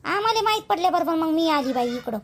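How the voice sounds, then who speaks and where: Woman playing a very high-voiced, complaining village wife. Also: animated, quick pace, noisy background. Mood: rueful. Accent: Vidarbha Marathi. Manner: chatty, complaining, emphatic with repeated phrases. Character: aggrieved, talkative village wife and daughter-in-law. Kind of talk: colloquial cartoon gossip dialogue.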